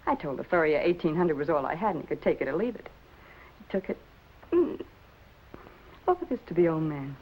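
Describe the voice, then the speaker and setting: Casting: greedy, slangy femme fatale, very animated delivery, dull, slightly noisy 1950s film sound. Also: female voice, low voice, brisk, long pauses. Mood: brazen, persuasive. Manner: slangy, sharp-tongued. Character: brassy, greedy, scheming noir dame. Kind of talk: 1950s film noir acting.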